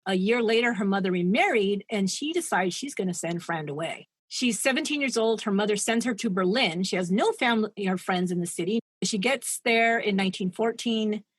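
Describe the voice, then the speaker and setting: A woman's voice: medium pitch, animated, a little fast, hardly any pauses, no echo. A woman co-hosting a podcast, retelling a true-crime story in a chatty, digressive way and laughing at her own asides. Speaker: podcast co-host.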